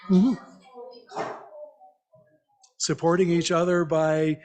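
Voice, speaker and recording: Higher-pitched man giving an informal church message. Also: level tone, darkish tone. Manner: conversational, reflective, halting with repeated words; invites listeners with questions. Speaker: lay church speaker.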